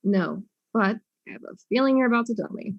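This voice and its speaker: Casting: woman playing tough investigator, mid-pitched, dry and curt, easily exasperated, comic audio drama. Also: animated, brisk, creaky, lo-fi sound. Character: blunt, tough, exasperated detective questioning suspects.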